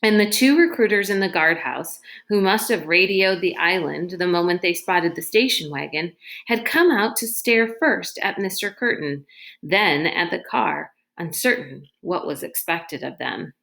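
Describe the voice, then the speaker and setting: A woman's voice: lowish voice, lively intonation, measured pace, gravelly, fairly bright tone. A woman narrating a storybook aloud expressively, as a reader does.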